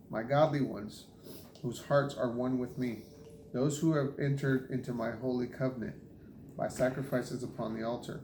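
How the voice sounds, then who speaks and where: A man's voice: mid-range voice, darkish tone. A man reading scripture aloud. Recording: echoey room, faint background noise.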